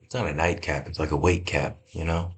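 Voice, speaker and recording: A man's voice: very deep voice, steady tone, fairly quick. A man hosting a laid-back gameplay podcast just after waking up, his voice scratchy and drowsy, rambling easily with lots of fillers and restarts. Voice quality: scratchy morning voice. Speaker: let's-play host.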